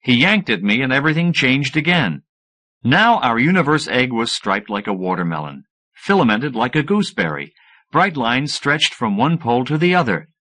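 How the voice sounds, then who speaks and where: A man reading first-person fiction as an audiobook narrator, in an expressive scripted style. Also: mid-range voice, normal speed.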